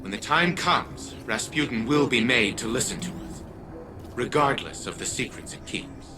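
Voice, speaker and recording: An actor playing a haughty, calculating old scientist in a video game, speaking formally and imperiously over music. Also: male voice, medium pitch, expressive, moderate pace, lightly acted. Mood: commanding, smug. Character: haughty, calculating elderly male scientist patriarch. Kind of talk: video game cutscene acting. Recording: music behind the voice.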